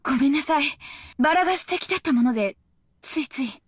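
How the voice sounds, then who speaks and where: An actress playing a harsh, short-tempered young mother with a sharp, clipped way of talking, in a visual novel game. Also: sits high, lively intonation, racing, no echo. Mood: polite, composed. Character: harsh, short-tempered young mother scolding her nine-year-old child. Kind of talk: dramatic Japanese visual novel voice acting. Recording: studio voice over loud string music.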